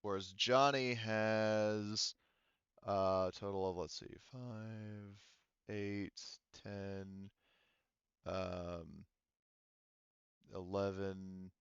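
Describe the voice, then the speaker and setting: A man working as game master, casually narrating a sci-fi tabletop roleplaying game in a halting, think-aloud way. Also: fairly low pitch, flat delivery, fairly bright tone, smooth, no echo, muffled recording.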